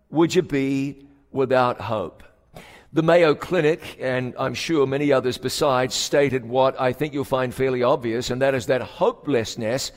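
A man preaching a sermon, telling stories dramatically and varying his pace and emphasis, on a stage mic in a church sanctuary. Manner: dramatic storyteller, shifting pitch and pace for emphasis. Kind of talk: sermon with storytelling. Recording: long hall reverb.

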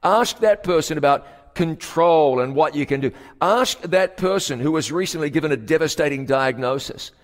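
A man preaching a sermon as pastor, stretching key words for emphasis and dropping to a hushed tone at solemn moments. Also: fairly high pitch, normal speed, hardly any pauses. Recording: long hall reverb.

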